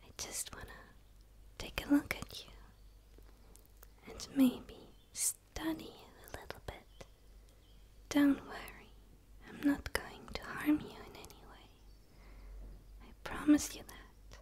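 An eager, curious female alien, acted by a woman whispers softly in an ASMR roleplay. Her voice sits high and stays very even in pitch. She is soothing and breathy, right up close to the mic. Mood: fascinated, reassuring. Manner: soft, slow, soothing, breathy delivery. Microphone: close microphone, speaking straight to camera.

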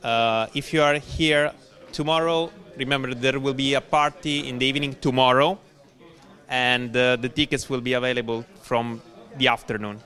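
A man speaking as a session chair, giving plain, unscripted announcements in a halting way in a conference room. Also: medium pitch, fairly even intonation, bright, forceful tone.

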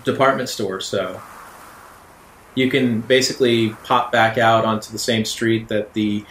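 A man's voice: fairly low pitch, steady tone, unhurried, fairly bright tone. A man running a tabletop roleplaying game, improvising narration in a conversational, amused way. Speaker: game master. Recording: roomy echo with faint music. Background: faint music.

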